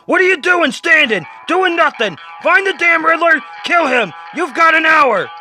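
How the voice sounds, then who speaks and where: A man voicing a furious, threatening mob boss who yells and barks orders in a dramatic comic reading. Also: very high-pitched, lively intonation, moderate pace, soft background music, pitched above the performer's own voice, exaggerated voice. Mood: barking orders. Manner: yelling, barking orders.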